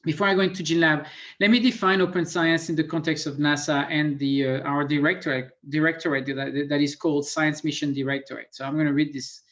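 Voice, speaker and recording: French guy lecturing over a muffled video call, kinda high voice, lots of ums. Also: level tone, fairly quick, a bit bright. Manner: thoughtful, self-deprecating, many fillers. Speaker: guest lecturer. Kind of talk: online presentation. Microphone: computer mic on a video call.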